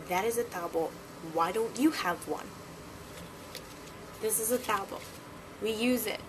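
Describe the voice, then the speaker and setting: A young woman talks casually to her camera, playful and prone to giggling. Her voice sits a little high. There is noticeable noise behind her. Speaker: vlogger. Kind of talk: casual vlog chat.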